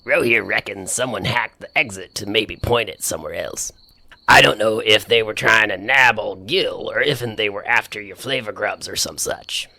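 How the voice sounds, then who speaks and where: A man's voice: on the low side, moderate pace, gravelly, bright, forceful tone, dry recording, exaggerated voice. A man playing a folksy, rustic space trucker with a drawling country manner in an audio comedy. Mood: folksy, puzzled. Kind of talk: audio sitcom acting.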